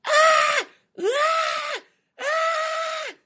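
A man voicing an excitable, anxious father lizard in a storybook, with dramatic exclamations. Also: fairly high pitch, unhurried, heavy background noise, pitched above the performer's own voice. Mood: panicked. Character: excitable anxious male father lizard, brave but easily panicked.

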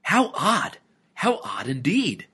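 A man voicing an excitable, anxious father lizard in a storybook, with dramatic exclamations. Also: fairly high pitch, slow, breathy edge, pitched above the performer's own voice, heavily acted. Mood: puzzled. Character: excitable anxious male father lizard, brave but easily panicked.

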